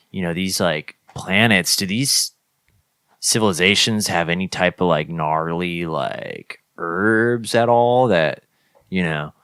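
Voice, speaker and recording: A man's voice: deep voice, bright, forceful tone, clean tone, no echo, clean studio sound. A man chatting in improvised comedy as a laid-back, slangy slacker who hedges his way through sentences. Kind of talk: casual improvised comedy chat.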